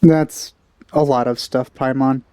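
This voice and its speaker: A man with a dark, mellow voice casually reacts to a video game. He sounds low-key and understated, recorded dry and close to the microphone. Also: fairly even intonation, unhurried, smooth, dry recording. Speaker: let's player.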